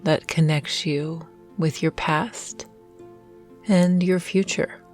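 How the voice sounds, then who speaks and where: A woman guiding a meditation in a gentle, soothing, measured way with long pauses. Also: low voice, fairly even intonation. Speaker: meditation guide. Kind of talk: guided meditation narration. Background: soft meditation music.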